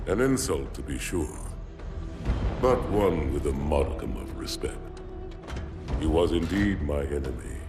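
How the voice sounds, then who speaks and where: An extremely deep voice echoes over loud music as a proud alien warrior leader. He is solemn and stately, weighing each formal phrase in epic game style. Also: lively intonation, a little slow, long pauses, heavily acted. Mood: solemn, reflective. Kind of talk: dramatic game cinematic acting. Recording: echoing, loud music behind.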